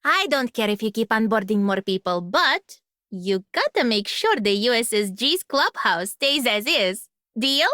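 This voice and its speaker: A breezy young woman from a game, cheeky and casual. Her voice sits high and swings playfully up and down. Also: sing-song, a little slow, pushed and bright, no echo, clean studio sound, exaggerated voice. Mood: breezy, teasing. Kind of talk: scripted video game character voice line.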